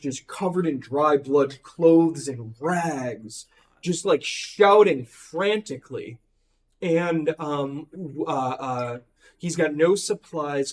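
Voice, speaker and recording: Brief: hoarse man running a tabletop game, slow, halting, voice somewhat high. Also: a little mellow. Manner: halting, full of ums and likes. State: hoarse, losing his voice. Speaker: game master.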